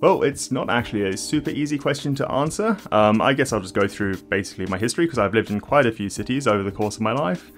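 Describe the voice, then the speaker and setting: A man's voice: lowish voice, expressive, soft background music. A young man from South Africa with a mixed South African and Australian accent, hosting a video that moves from scripted narration to a casual question and answer session. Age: young adult. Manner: clear, measured delivery with casual fillers in Q&A. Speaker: YouTube host.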